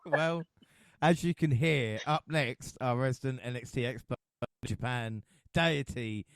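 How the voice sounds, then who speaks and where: A man's voice: mid-range voice, unhurried, clear, resonant tone, no room sound, clean studio sound. A man hosting a wrestling podcast, joking and laughing through casual chat. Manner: jokey, laughs often, mumbles asides. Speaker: podcast host.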